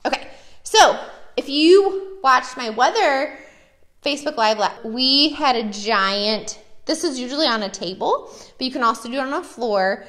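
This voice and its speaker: A woman's voice: high voice, animated, a little slow, a bit bright. An American woman, a preschool teacher, chatting enthusiastically and casually as she shows classroom activities.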